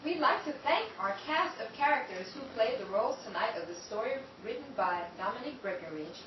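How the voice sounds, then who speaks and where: A woman from the cast reads the closing credits in a lively, announcing voice that swings widely in pitch. She sits far from the mic in an echoey room. Loud crowd noise and hiss run behind her. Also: brisk, dull audio. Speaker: cast member reading the credits. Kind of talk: scripted show credits. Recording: echoey, distant recording with a noisy crowd.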